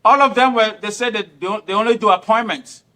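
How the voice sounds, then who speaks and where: Earnest man, bright voice. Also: high voice, brisk. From Cameroon. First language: not English. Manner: earnest, formal, repeats himself. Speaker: guest. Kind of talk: explaining himself in an interview.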